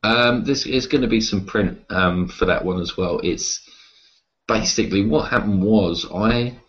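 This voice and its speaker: A man being interviewed, talking casually and reflectively about his writing, with hesitant pauses. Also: fairly low pitch, fairly bright tone, dull audio. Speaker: interview guest, horror writer and publisher.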